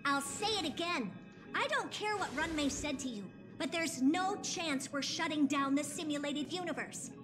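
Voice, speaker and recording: An irritable, conceited young female scientist in a video game. The voice is high and very animated, with curt, scornful emphasis. Music plays clearly behind it. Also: moderate pace. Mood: defiant, annoyed.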